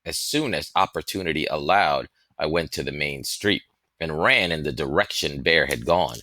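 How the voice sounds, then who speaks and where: Deep, forceful male storybook reader. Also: moderate pace, no room sound. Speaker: audiobook reader. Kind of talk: expressive scripted storybook narration.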